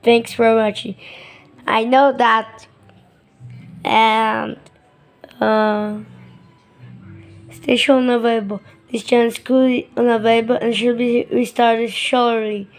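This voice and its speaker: Woman talking slow and halting over a video, kinda high voice, soft music behind. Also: flat delivery. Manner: halting, chatty, unsure. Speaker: video creator reacting. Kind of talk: casual play-along commentary.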